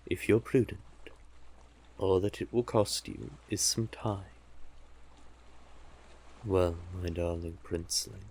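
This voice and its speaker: Man voicing a slow, gravelly, coaxing sea witch. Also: on the low side, darkish tone, slight background noise. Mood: persuasive, coaxing. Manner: slow coaxing endearments, theatrical and velvety. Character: cunning sea witch cursed into monstrous form, silkily coaxing. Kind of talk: intimate scripted audio roleplay.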